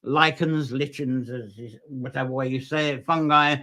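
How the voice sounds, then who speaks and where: A man's voice: medium pitch, steady tone, moderate pace, pushed and bright. A man hosting a casual nature talk, rambling with many fillers and restarts. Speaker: host.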